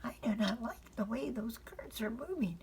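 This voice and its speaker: A woman's voice: a little high, lively intonation, normal speed, a little mellow, slight background noise, voice pushed up. A woman voicing a timid, fretful young girl full of hesitant questions in a bedtime storybook. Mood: wary.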